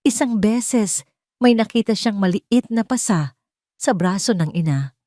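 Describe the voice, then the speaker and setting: A woman narrating a scripted dramatic story solemnly, in short, deliberate phrases. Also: mid-range voice, animated, mellow, no echo, studio quality.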